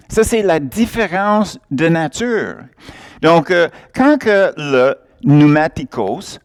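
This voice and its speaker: A man preaching a sermon, emphatic and often laughing as he acts out little exchanges. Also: fairly high pitch.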